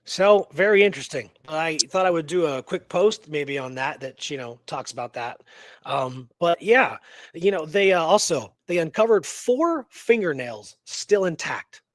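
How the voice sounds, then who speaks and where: Musing man in casual talk, higher-pitched, dry sound. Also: lively intonation, a little slow, dry recording. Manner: musing, poses rhetorical questions and seeks agreement with tags. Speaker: co-host of a history radio show. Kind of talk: casual conversational discussion of a historical mystery.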